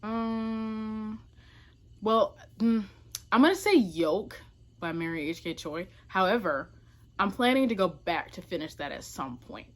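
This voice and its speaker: A woman's voice: medium pitch, expressive, a little slow. A woman talking casually to camera as a book vlogger, informal and emphatic, often correcting herself with little asides. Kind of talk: casual chatty talk to camera.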